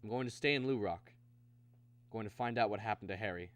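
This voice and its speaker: A man's voice: lowish voice, brisk, lightly acted. An actor in a radio drama playing an earnest, troubled man, both narrating and acting in the scenes. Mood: steady. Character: earnest, troubled man searching for his missing brother. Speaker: lead and narrator.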